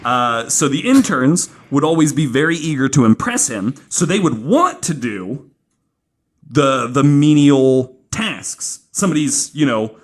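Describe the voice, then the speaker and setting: A man's voice: medium pitch, expressive, unhurried. A man hosting a podcast, telling a true-crime story casually and informally from notes, with some swearing. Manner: informal, profane, acting out quoted remarks.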